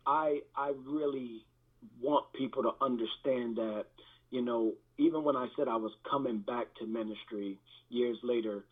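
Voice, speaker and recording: A man speaking as a guest pastor, giving a candid personal testimony in a halting, reflective manner with repeated words, through thin band-limited audio. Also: mid-range voice, slightly rough, phone-quality sound.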